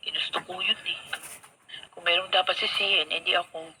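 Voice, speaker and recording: Weary Filipino wife, noisy distant recording. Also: female voice, fairly low pitch, fairly even intonation, brisk, sharp tone. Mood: resigned, hopeful. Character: weary, loyal, long-suffering wife and daughter. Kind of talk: Filipino radio drama acting. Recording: noisy, distant radio drama broadcast.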